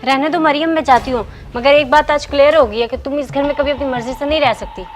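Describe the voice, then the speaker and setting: Actress as a showy, high-voiced meddling friend. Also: female voice, steady tone, fast, natural voice. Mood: needling. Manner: blunt, showy, needling. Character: boastful, meddling, newly married young woman friend. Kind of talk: acted moral-story drama dialogue.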